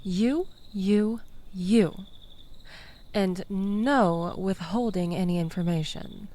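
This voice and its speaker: Woman acting a very slow-talking, teasing manipulative ex-girlfriend. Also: mid-range voice, dark tone, lightly acted. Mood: coy teasing. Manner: slow, coy, teasing, with sighs and pauses. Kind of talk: intimate audio roleplay addressed to listener.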